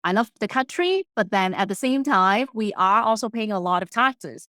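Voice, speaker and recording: A woman's voice: mid-range voice, animated, moderate pace, fairly bright tone, dry recording, clean studio sound. A woman whose first language is not English, an accountant and author talking as a podcast guest, earnest and plain-spoken with frequent restarts. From immigrated to Canada in her late teens. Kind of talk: casual interview talk.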